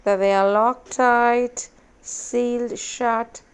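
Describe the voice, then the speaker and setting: A woman leading a guided meditation in a measured, hypnotic way, giving repetitive instructions with long pauses. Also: on the high side, steady tone, smooth.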